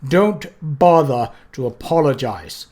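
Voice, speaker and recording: Death done by a guy, stern and slow, loud and looming, audiobook style. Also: mid-range voice, animated. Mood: menacing. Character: Death, towering cold skeletal lord, angry.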